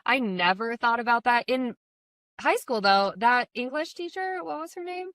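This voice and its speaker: Chatty thirties woman co-host, bright, slightly high voice, dry close sound. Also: expressive, no room sound. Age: 30s. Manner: chatty, quick, laughs often. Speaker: podcast co-host. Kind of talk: casual chat among friends.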